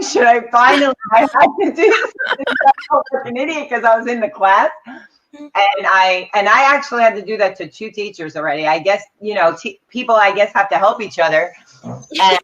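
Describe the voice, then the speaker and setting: A woman's voice: mid-range voice, fairly bright tone. A woman co-hosting a live psychic chat, explaining things in an earnest way over a video call. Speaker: psychic reader, co-host. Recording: dull lo-fi audio.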